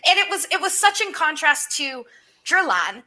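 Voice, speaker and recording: A woman guest on a show, chatting in an animated, opinionated way. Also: high voice, normal speed. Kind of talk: casual chat.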